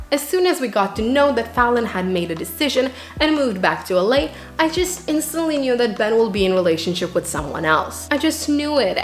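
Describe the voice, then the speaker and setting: A woman narrating a scripted book review in an earnest way, with dramatic emphasis. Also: a little high. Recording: roomy echo, music underneath. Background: background music.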